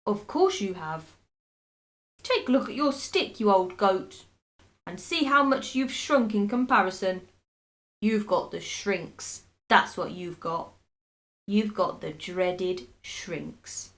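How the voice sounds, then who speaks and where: Bullying storybook husband, voiced by a woman: spiteful, taunting, slow and emphatic, savoring every threat. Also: mid-range voice, lively intonation. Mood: gloating. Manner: taunting, drawn-out mock solemnity.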